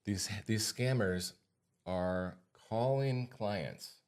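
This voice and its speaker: American guy podcast host, deep voice, casual chat, repeats stuff to drive it home. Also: very slow, darkish tone, clean tone. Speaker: podcast host and financial advisor.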